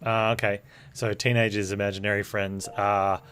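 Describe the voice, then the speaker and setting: A man chatting casually as he streams a game, rambling along in a deadpan way. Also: on the low side, normal speed, a little hiss or hum. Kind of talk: casual live stream chat with rambling commentary. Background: game music.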